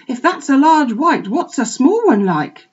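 A woman's voice: on the high side, lively intonation, measured pace, darkish tone, dull audio, voice pushed up. A woman doing a cheeky collie puppy. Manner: mocking, cheeky.

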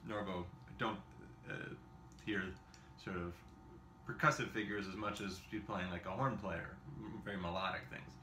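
A man's voice: deep voice, fairly even intonation, normal speed, bright, forceful tone, a little hiss or hum. A man in his thirties giving a casual xylophone lesson in his home kitchen, rambling and self-deprecating, with lots of fillers and small laughs. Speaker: xylophone teacher. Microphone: camera in front at a short distance. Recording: echoey kitchen with xylophone playing.

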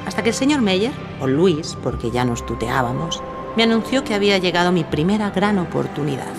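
An actress playing a self-assured, witty 1940s film star in a scripted audio drama, poised and seductive. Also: female voice, on the low side, very animated, a little fast, over music. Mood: reminiscing, proud. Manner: poised, confident, seductive storytelling.

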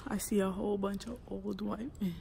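A woman's voice: mid-range voice, little pitch movement, a little fast, creaky, dark tone, slight background noise. A young woman vlogging casually while touring a museum, giggling and often dropping to a whisper, recorded on a phone at arm's length. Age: young adult. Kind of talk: casual vlog chat. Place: indoor museum galleries. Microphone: phone held at arm's length.

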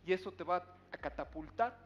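Male contest judge, middle-aged, slightly high voice, emphatic speechmaking, dull studio sound. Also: fairly quick, slight background noise. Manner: earnest, emphatic, rhetorical repetition. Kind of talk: live judging feedback. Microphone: headset microphone.

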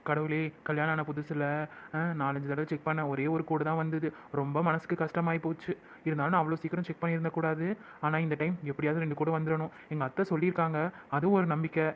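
A man's voice: high-pitched, steady tone, very fast, slight background noise, natural voice. A man voicing an emotional young wife hoping she is pregnant, pleading and prayerful, in a home-made skit with a tinny phone-like sound. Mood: praying. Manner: pleading, prayerful, weepy with joy.

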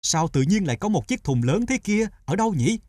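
A man voicing a weary poor peasant in an animated folktale, exclaiming and complaining. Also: sits high, a little fast, a little hiss or hum. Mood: amazed. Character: poor, weary thin peasant man, prone to amazement and lament.

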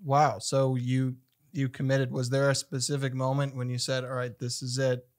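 A man's voice: mid-range voice, completely flat delivery, normal speed, darkish tone. A young man hosting a casual interview in a small studio, asking short questions. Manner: brief prompts and affirmations. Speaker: host. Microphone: studio mic on boom arm, headphones on.

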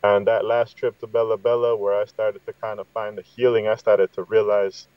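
A man's voice: fairly low pitch, level tone, normal speed, clear, resonant tone. A middle-aged man from Guatemala telling reflective, conversational stories as a podcast guest over a video call. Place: inside a parked vehicle. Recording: slightly dull, roomy sound.

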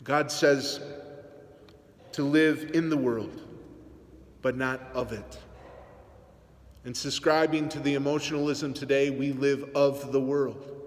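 A man in his forties, a priest, giving an earnest, measured homily to his congregation in a large church. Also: medium pitch, fairly even intonation. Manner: earnest, measured preaching with teasing asides. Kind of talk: sermon. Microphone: phone camera on the pulpit, aimed up. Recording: long church reverb.

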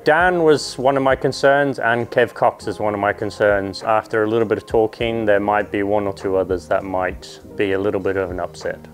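A man's voice: on the low side, fairly even intonation, fairly quick, hardly any pauses, faint music behind. A man from Zimbabwe, answering interview questions as an angler. He is candid and matter-of-fact, recorded on a lapel mic indoors. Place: indoor room.

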